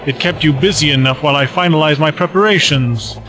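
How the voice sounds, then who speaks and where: A man's voice: a little high, a little fast, pushed and bright. A man playing a cruel, commanding Time Lord villain in an audio drama, pompous and menacing. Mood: smug menace. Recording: music behind.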